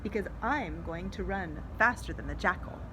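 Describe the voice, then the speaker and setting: A woman's voice: lowish voice, expressive, normal speed, natural voice. A woman voicing an earnest, playful young European male student in a storybook read-aloud, on a noisy home recording. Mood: playful, bold.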